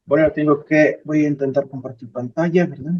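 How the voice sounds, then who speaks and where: Brazilian guy, portuguese native, halting academic spanish on a muffled video call, kinda high voice. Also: steady tone. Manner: hesitant, halting, checking with listeners. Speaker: guest speaker. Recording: dull video call audio.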